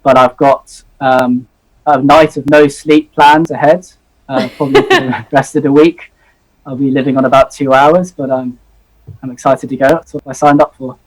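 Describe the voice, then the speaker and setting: A young man in his twenties joins a live show by video call, earnest and eager, with frequent ums and uhs. His pitch is average and stays quite flat and even, and he comes across through a laptop-style call microphone. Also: normal speed, low-quality recording. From Hong Kong. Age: 20s. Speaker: zoology student guest.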